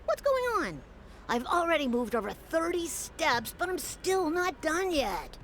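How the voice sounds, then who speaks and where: High-pitched, excitable young student. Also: female voice, unhurried, a little hiss or hum. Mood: eager, baffled. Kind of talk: video game voice acting.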